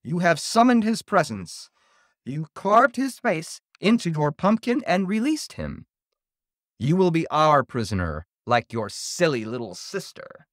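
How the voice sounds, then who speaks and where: A man's voice: on the high side, sing-song, a little slow, no echo, clean studio sound, pitched above the performer's own voice. A man voicing an ancient, evil female monster in a horror story, taunting and gloating over a victim. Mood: taunting, exultant. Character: ancient evil female monster, menacing servant of dark lord.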